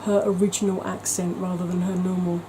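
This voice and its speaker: A woman's voice: on the low side, little pitch movement, fairly quick, background noise. A woman talking in a chatty, gushing way as a beauty vlogger, with frequent ums. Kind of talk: casual vlog chat.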